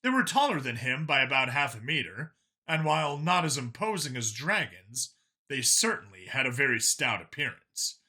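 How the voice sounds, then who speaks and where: A man's voice: mid-range voice, big pitch swings, moderate pace, bright, forceful tone, husky. A man reading scripted fiction as an audiobook narrator.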